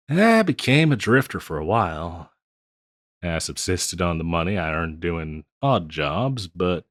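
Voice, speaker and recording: Man playing a deep-voiced folksy old Western bartender. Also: dry recording, clean studio sound. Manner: folksy, rambling, chuckling drawl with hesitations. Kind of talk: intimate scripted roleplay audio, addressed to listener.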